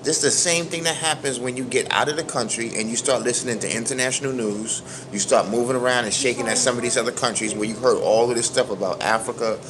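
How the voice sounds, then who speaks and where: A middle-aged man from New York with a Brooklyn accent, hosting a livestream and talking in an emphatic, preachy way full of street slang. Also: medium pitch. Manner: emphatic, preachy, keeps asking "you understand me?"; street slang, animated storytelling. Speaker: livestream host. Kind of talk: casual livestream monologue.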